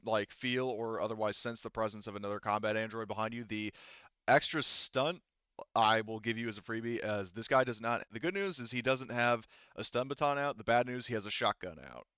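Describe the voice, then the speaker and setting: Smooth, lowish-voiced man running a game. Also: brisk, fairly bright tone, no echo, muffled recording. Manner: profane, informal. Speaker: game master. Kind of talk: casual tabletop game narration.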